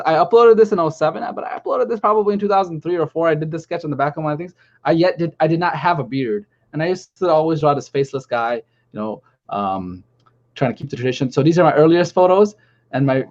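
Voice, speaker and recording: A man's voice: fairly high pitch, darkish tone. A man hosting a casual chat on a video call, rambling and chatty. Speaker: stream host. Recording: lo-fi call audio.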